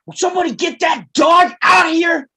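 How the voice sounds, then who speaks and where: A woman playing an anguished, desperate gunslinger man in a western table read, with a raspy voice and explosive, pleading outbursts. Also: very high voice, fairly quick, pushed and bright, raised voice, exaggerated voice. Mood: frantic. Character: desperate, anguished gunslinger man watching his brother die.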